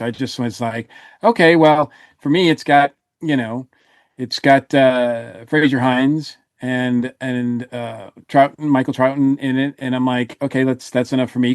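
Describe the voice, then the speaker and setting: A man's voice: mid-range voice, no echo. Man chatting casually, dry close sound. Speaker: podcast panelist reviewing audio drama. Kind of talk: casual review chat.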